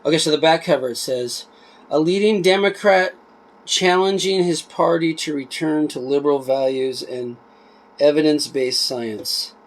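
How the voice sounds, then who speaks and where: A man reading a book aloud in an informal way, emphatic and deliberate on the text, with offhand asides in between. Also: on the high side, low-quality recording.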